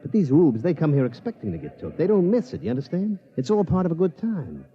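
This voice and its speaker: A man's voice: a little high, wildly swooping pitch, fast. An actor playing a streetwise male casino tout who talks in slang in a 1950s radio drama. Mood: wheedling. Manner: slangy, conspiratorial tough talk. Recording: muffled vintage radio recording.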